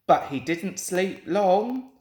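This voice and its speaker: A man's voice: high voice, lively intonation, takes it slowly, darkish tone. A young man narrating a children's story expressively. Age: young adult. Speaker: storybook reader. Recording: roomy home recording with echo.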